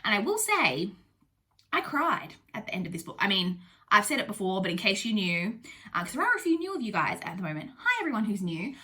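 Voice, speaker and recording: A woman's voice: medium pitch, sing-song, a little fast, sharp tone. A young adult woman with a blocked-up, nasal voice from a cold, chatting casually through a book review with lots of ums and likes. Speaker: booktuber host.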